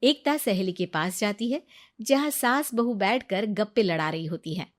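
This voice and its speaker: A woman's voice: medium pitch, lively intonation, normal speed. A woman reading a scripted moral story as its narrator.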